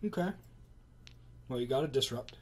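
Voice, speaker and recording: A man with a gravelly voice playing a tabletop card game, calmly and dryly announcing his moves. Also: mid-range voice, normal speed. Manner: calm, dry, announces plays methodically. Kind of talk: casual banter during tabletop card game.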